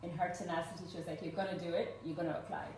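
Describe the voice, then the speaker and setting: A woman's voice: on the low side, fairly even intonation, racing. Ugandan-born woman speaking in an echoey hall. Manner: earnest and warm, with frequent "um" fillers. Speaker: speaker at a fundraising event. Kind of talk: personal story told to a live audience. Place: event room with a live audience. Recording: roomy echo, voice from far off, faint noise.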